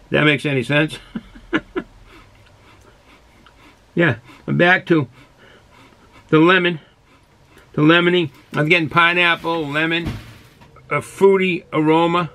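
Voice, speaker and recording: A man's voice: fairly high pitch, steady tone, takes it slowly, long pauses, bright, forceful tone. A man in his sixties from Boston with a thick Boston accent, chatting casually and rambling a little as he reviews for the camera. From Boston, United States. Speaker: beer reviewer. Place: small cluttered indoor hobby room.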